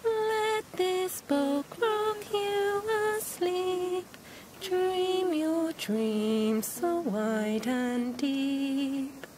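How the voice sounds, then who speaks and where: A woman playing a gentle parent in an audio drama, tenderly singing a soothing lullaby to a child. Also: high voice. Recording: noisy ambience behind the voice.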